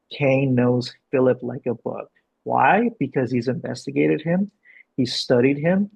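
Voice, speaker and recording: Gravelly, husky man directing a read. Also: medium pitch, a little mellow. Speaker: director reading stage directions. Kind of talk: explanatory coaching and script narration. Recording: dull, lo-fi sound.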